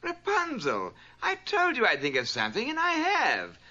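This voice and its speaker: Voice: medium pitch, huge pitch swings, normal speed, very noisy. A goofy bumbling cartoon prince. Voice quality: goofy and dopey. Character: goofy young male prince, bumbling and earnest. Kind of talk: 1960s cartoon voice acting. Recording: dull lo-fi old TV sound.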